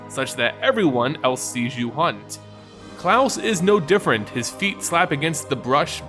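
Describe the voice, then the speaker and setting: Animated, theatrical man narrating over music. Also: fairly high pitch. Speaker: channel host and narrator. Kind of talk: dramatic reading of fantasy lore. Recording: music behind the voice.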